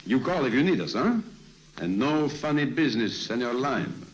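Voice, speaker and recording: Actor as a stern guard, wildly swooping delivery. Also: male voice, medium pitch, expressive, normal speed, close to an everyday voice. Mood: protective, menacing. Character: stern, suspicious male armed guard serving a senorita. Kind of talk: 1950s radio drama acting. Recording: noisy old radio recording.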